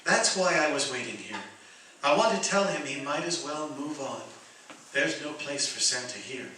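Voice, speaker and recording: Woman as a gentle, kindly spirit, very echoey. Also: low voice, lively intonation, normal speed. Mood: explaining, regretful. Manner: gentle, theatrical, patient explaining. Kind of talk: old-time radio drama acting. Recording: very echoey hall, distant mic, faint noise.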